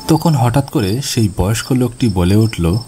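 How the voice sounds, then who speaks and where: A man's voice: mid-range voice, expressive, moderate pace, mellow, a little hiss or hum. A man reading scripted first-person ghost story narration for an animation. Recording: music bed behind the voice. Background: music.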